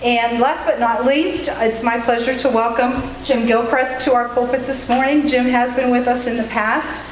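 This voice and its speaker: A woman's voice: a little high, steady tone, normal speed. A woman making friendly, chatty announcements at a church service. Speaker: church member giving announcements. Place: church sanctuary. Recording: echoey sanctuary with faint room noise.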